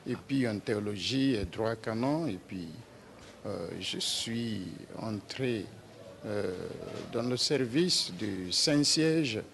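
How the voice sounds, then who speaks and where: Slow gravelly nigerian-born guy in his 60s, lots of euhs and restarts, talking as a church diplomat. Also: medium pitch. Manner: slow and hesitant with many "euh" fillers and restarts. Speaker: papal nuncio. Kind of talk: slow, halting interview answers.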